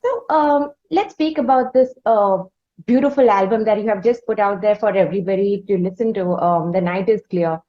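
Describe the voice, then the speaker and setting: A woman's voice: mid-range voice, moderate pace, warm tone, muffled recording. A woman with an Indian accent hosting a music interview, speaking in an effusive, wordy, roundabout conversational way. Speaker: interviewer, podcast host.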